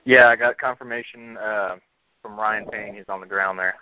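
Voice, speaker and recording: A man's voice: on the low side, steady tone, moderate pace. A man relaying reports in casual conversation on a group phone call. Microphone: telephone. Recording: muffled noisy phone line.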